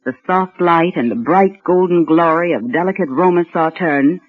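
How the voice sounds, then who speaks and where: A woman's voice: lowish voice, normal speed. A woman giving scripted household advice as a spokesperson in a 1940s radio commercial. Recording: muffled old radio recording.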